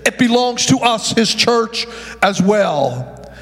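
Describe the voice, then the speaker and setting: A man's voice: very high-pitched, big pitch swings, takes it slowly, fairly bright tone, slightly rough. A male pastor preaching a sermon, calling out to the congregation and often stumbling and correcting himself. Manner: preaching with call-and-response to the congregation. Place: church sanctuary. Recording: long echo of a large hall.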